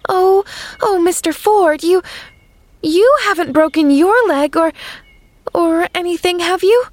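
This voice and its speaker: A woman reading aloud and voicing an eager, kind, chatty young girl with a high, earnest, breathless way of speaking. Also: lively intonation, moderate pace, no room sound, close to an everyday voice. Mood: anxious.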